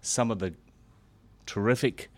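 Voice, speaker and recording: A middle-aged man and former foreign correspondent speaking to a gathering, halting with fillers at first, then growing more animated. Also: lowish voice, no echo. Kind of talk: speech to an audience.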